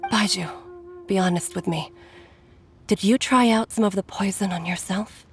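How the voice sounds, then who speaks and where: Actress as weary, caring doctor Jiangli. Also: female voice, mid-range voice, normal speed, creaky, soft background music, lightly acted. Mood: earnest, probing. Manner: wistful, earnest, sighing. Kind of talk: scripted video game voice acting.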